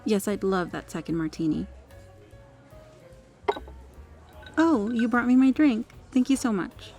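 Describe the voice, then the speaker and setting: A woman's voice: medium pitch, animated, normal speed, darkish tone. Woman acting as kind female diner, ASMR roleplay, polite, articulate, reassuring, music behind the voice. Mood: friendly, reassuring. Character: kind, well-spoken female restaurant regular, firmly protective.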